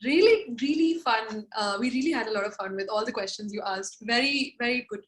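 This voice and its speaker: A woman with a fairly high voice and an Indian accent chats casually as an interview guest. She is gushing and enthusiastic. The room around her is clearly echoey. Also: a little fast, crowd noise. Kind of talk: casual chat.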